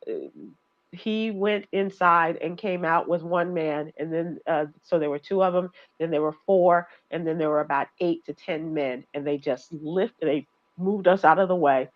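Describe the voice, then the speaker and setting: A woman's voice: deep voice, moderate pace, dull audio. A woman radio host telling travel stories in casual chat, laughing and acting out voices as she goes. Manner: chatty, laughing, acts out voices in her stories.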